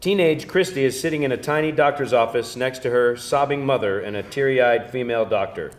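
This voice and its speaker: A man's voice: medium pitch, brisk. A man narrating screenplay stage directions in a scripted reading style at a live table read.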